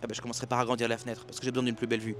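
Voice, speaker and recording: A man's voice: mid-range voice, monotone, rapid-fire, a bit bright, slight background noise. A man giving a rambling motivational monologue to his viewers at night by the sea, with many restarts and fillers. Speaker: self-help and expat business YouTuber.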